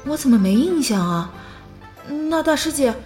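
An actress voicing a timid, flustered young lover in an audio drama, bewildered and fretting as they protest innocence. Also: female voice, sits high, lively intonation, moderate pace, darkish tone. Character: timid, flustered, anxious lovestruck young junior. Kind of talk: modern audio drama acting. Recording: music behind the voice.

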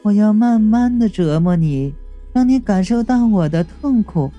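A man's voice: high voice, expressive, a little slow, warm tone, soft background music. A man voicing a playful, sadistic young ghost girl who teases in a sweet, childish singsong. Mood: sweetly cruel.

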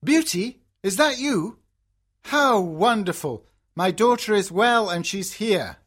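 A woman voicing a caring older father in a fairy tale, with a husky, emphatic delivery. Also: low voice, animated, measured pace, a bit bright, pitched below the performer's own voice, exaggerated voice. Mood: overjoyed.

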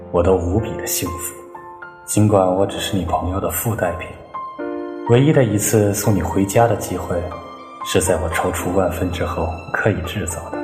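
A man's voice: on the low side, close to an everyday voice. A man acting a shy, tender, lovesick young male student in a radio drama monologue. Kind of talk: scripted monologue, modern Chinese radio drama. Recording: echoey long reverb, loud music behind. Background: sad new-age music.

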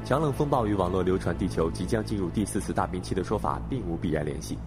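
A formal news announcer delivers a bulletin in a radio drama. The voice is low and quick, with an impersonal manner. Prominent music runs over it. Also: male voice, expressive. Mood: formal, urgent. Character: formal male news announcer relaying emergency weather bulletin.

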